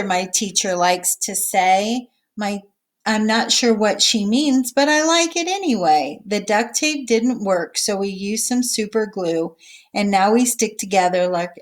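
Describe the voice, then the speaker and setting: Female teacher, medium pitch, read-aloud for kids mixed with casual, simple explanations and small check-ins with the listeners. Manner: explains simply, checking in with okay and all right. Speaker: teacher reading a picture book aloud.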